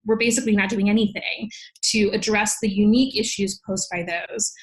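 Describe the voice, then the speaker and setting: A woman's voice: mid-range voice, fairly even intonation, brisk, rough recording. A woman speaking as an interview guest, explaining in an articulate, emphatic way like a scholar. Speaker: podcast guest, legal scholar.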